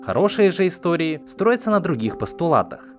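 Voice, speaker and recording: A man narrating a scripted explanatory video essay in a measured, didactic manner. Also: fairly high pitch, big pitch swings. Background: background music.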